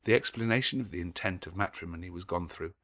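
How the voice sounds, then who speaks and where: Male audiobook narrator for classic fiction, fairly deep voice, measured and dramatic delivery. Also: a bit bright. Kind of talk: scripted literary narration.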